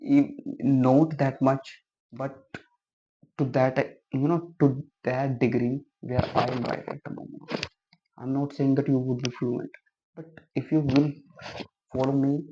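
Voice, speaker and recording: Man, flat and mellow. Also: medium pitch, muffled recording, slight background noise. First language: Hindi. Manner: hesitant, many fillers and restarts, encouraging. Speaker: English-speaking vlogger. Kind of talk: casual talk to camera, giving advice.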